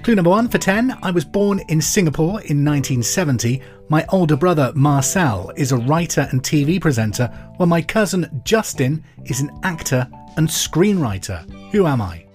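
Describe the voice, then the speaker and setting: A man hosting a pub quiz podcast, reading each question twice in a slow, clear way. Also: on the high side, lively intonation, a little mellow, faint music behind. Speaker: quiz host.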